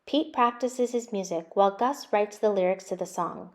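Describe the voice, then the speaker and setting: A woman hosts a storytime, reading a picture book aloud. Her mid-pitched voice is expressive and addressed to young children. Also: normal speed. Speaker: storytime host and reader.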